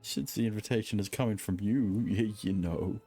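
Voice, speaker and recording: A man's voice: lowish voice, moderate pace, dark tone, soft background music. A man streaming a video game, giving casual, joking reactions in a hesitant, stammering way.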